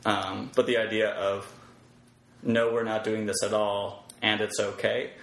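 A man speaking as a podcast guest in casual conversation, thinking aloud in a reflective, halting way. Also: on the low side, monotone, creaky, fairly bright tone. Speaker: podcast guest, web developer and comedy performer. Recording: roomy echo, faint background noise.

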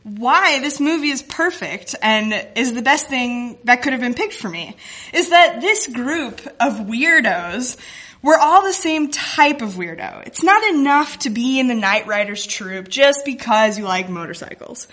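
Bright-voiced woman telling a personal story. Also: on the high side, expressive, unhurried. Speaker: guest speaker, cartoonist. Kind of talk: personal storytelling to a live audience.

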